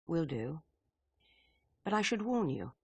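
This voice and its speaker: An actress playing a stern, brisk intelligence chief briefing an agent in a video game, dry and authoritative. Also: female voice, low voice, big pitch swings, vocal fry, slightly rough, dry recording, exaggerated voice. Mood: stern, wry. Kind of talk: dramatic video game cutscene acting.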